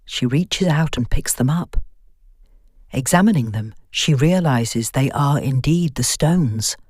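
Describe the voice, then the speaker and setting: Low-voiced woman narrating horror. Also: expressive, slow, a little mellow, breathy edge, no room sound, clean studio sound. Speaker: story narrator. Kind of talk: scripted horror story narration.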